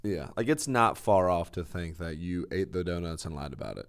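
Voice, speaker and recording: A man's voice: low voice, expressive, moderate pace, clear, resonant tone. A man co-hosting a podcast, chatting casually with teasing, dry interjections. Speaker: podcast co-host. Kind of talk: casual banter.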